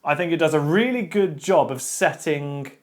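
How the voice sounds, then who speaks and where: A man, co-hosting a casual podcast chat about books, opinionated and quick with restarts. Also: a little high, animated. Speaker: podcast co-host.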